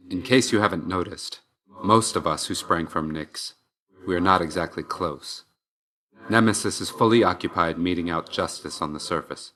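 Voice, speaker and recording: A stern young god of death for a video game. His voice sits low. He speaks with aloof, dry restraint, brooding and measured in every line. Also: pushed and bright. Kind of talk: scripted game character dialogue.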